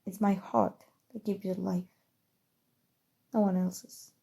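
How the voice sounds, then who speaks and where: Woman acting a dark, velvety possessive sorceress. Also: mid-range voice, flat delivery, slow, gravelly, slightly rough, exaggerated voice. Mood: possessive, intense. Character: possessive evil sorcerer woman, calm, seductive, obsessive. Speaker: voice actor. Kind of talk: ASMR roleplay, scripted acting.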